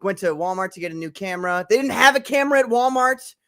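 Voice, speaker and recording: A man's voice: high-pitched, animated, fast talker, sharp tone. Chatty man hosting a livestream. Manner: chatty, apologetic, laughs often. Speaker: host. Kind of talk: casual live-stream chat.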